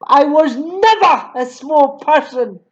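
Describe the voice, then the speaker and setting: A towering, tyrannical headmistress, as a woman puts her on, barking and snapping loud threats at small children. The voice sits high and the delivery drags slowly and heavily over every word. The recording sounds dull and lo-fi. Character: bullying huge female headmistress, shouting.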